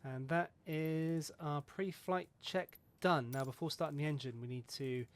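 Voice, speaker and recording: Chatty gravelly-voiced man talking flight sim. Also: a little high, measured pace. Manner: chatty, swears, rambling, self-mocking. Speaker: flight sim video host. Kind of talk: casual talk over a flight sim checklist.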